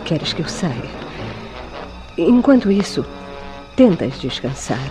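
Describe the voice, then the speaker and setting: An actress playing a possessive, remorseful femme fatale in a melodramatic radio drama, speaking with ardent, formal diction. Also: female voice, lowish voice, big pitch swings, slow pace, over music. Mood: soothing. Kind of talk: old-fashioned melodramatic radio drama acting.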